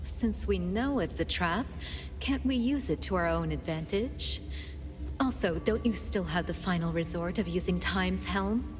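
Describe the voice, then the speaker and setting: A woman's voice: fairly low pitch, a little slow, lightly acted. Actress as a shrewd, self-assured woman, music behind. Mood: calm, persuasive. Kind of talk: video game voice acting.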